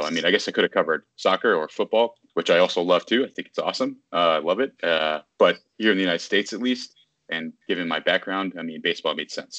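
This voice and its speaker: A man's voice: deep voice, fairly bright tone, no room sound. A man in his thirties from Virginia, a baseball journalist, talking casually from his city apartment and often correcting himself mid-sentence. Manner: rambling, self-correcting, lots of fillers. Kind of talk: casual interview on a video call.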